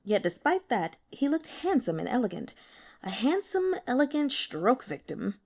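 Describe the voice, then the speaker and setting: A woman narrating a novel as an audiobook reader, in an expressive scripted style. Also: a little high, normal speed.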